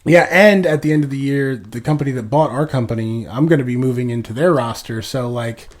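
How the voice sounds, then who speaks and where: A man's voice: medium pitch, brisk. Congested man, casual podcast co-host. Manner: swearing, rambling with restarts. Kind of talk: casual chat.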